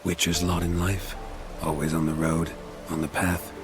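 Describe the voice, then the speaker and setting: An American actor playing a weary, stoic mercenary monster hunter in a video game, deadpan and terse. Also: male voice, sits low, normal speed, over music, close to an everyday voice. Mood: resigned. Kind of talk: video game cutscene acting.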